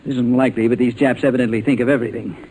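A man playing a level-headed, resourceful American adventure hero, instructing briskly in 1940s radio serial style. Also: medium pitch, steady tone, darkish tone, natural voice. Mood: calm, explanatory. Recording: noisy old radio drama recording.

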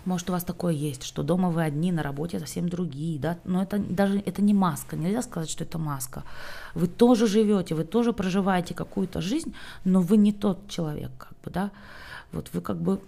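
A woman's voice: lowish voice, fairly quick, gravelly, mellow. A woman language teacher talking casually to the camera, chatty and rambling, with lots of fillers and frequent checks that the listener is following.

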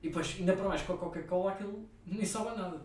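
Slightly off the microphone in an echoey room, a young man co-hosts a podcast with a European Portuguese accent. He speaks fast, in loose, slangy banter that rambles along. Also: sits high, a little mellow. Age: young adult. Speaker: podcast co-host. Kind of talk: casual banter with a friend. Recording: echoey room, distant mic, faint noise.

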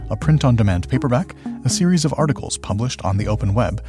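A man hosting a podcast, reading a scripted introduction to an audiobook series. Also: on the low side. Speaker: podcast host. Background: music bed.

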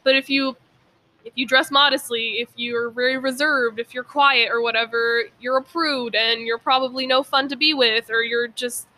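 A woman's voice: on the high side, steady tone, moderate pace, pushed and bright. A young woman guesting on a podcast, talking casually and earnestly, emphatic about her opinions. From Persian background. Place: outdoors.

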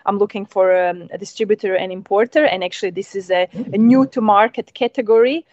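A woman in her thirties from Croatia with a Croatian accent, a winemaker chatting in an interview, eager and halting with many ums. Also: medium pitch, darkish tone, no echo. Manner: hesitant, many ums, enthusiastic. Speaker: winemaker guest. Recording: dull, muffled sound.

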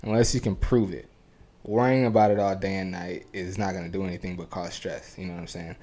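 A man co-hosting a casual podcast, telling rambling stories quickly with lots of fillers. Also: deep voice, flat delivery, dark tone. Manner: fast, rambling storytelling with many fillers. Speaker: podcast co-host. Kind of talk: casual chat. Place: home podcast studio.

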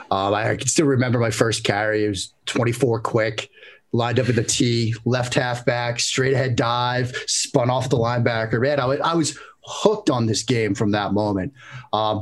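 Man with a thick Boston accent. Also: mid-range voice. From New England. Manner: self-deprecating, joking. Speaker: podcast guest, former quarterback. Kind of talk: casual podcast chat.

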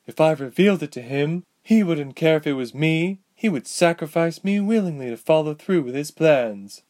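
A man's voice: a little high, expressive, moderate pace, a little mellow, pitched above the performer's own voice, lightly acted. A man doing a cold, vengeful sorceress. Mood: bitter. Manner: cool and indifferent, turning bitter. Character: cold, bitter, vengeful sorceress, a villain's daughter.

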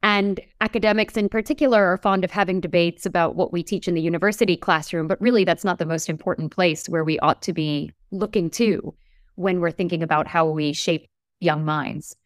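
A woman professor in her forties, raised in the United States, speaking in an articulate, measured way with emphasis in an interview. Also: fairly low pitch, steady tone, no echo, studio quality. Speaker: English professor. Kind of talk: reflective interview talk.

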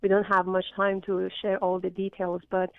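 A woman from Iran with a Persian accent tells her story earnestly, stopping often on fillers. Her voice is gravelly and stays level in pitch. It comes through a tinny, phone-like channel with a dry sound. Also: monotone, moderate pace, dry recording. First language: Farsi. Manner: earnest, with many fillers and restarts. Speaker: guest. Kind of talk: interview, personal testimony.

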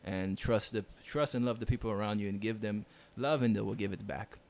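A man's voice: fairly low pitch, fairly even intonation, brisk, darkish tone. A man from Israel, speaking earnestly as a podcast guest, repeating phrases for emphasis. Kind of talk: casual chat.

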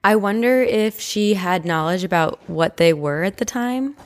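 A woman's voice: mid-range voice, lively intonation, moderate pace. A woman co-hosting a podcast, chatting casually and reading listener stories aloud. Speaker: podcast co-host.

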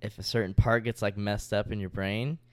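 A man's voice: lowish voice, flat delivery, a little fast, no echo. A young man chatting casually as a podcast co-host, talking informally and swearing freely. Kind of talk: casual chat.

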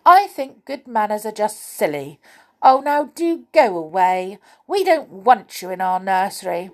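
A woman's voice: mid-range voice, very animated, unhurried. A woman voicing a naughty girl doll from a children's storybook, childish and emphatic. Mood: rude, defiant. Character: naughty rude girl doll, later tearfully remorseful.

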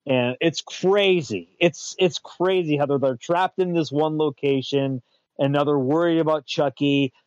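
A man with a slightly high voice chatting casually as a show host, rambling along, stumbling over and repeating words, chuckling between thoughts. The sound is dull and lo-fi, close and dry with no room. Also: measured pace, a little mellow, dry recording. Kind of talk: casual pop-culture chat.

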